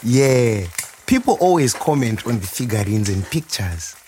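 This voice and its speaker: An actor playing a proud, warm restaurant owner reminiscing in a theatrical storytelling monologue. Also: male voice, mid-range voice, a little slow, husky. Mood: welcoming, chatty. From Zimbabwe. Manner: animated, chuckling, theatrical storyteller. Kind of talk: dramatised monologue, storytelling to a customer.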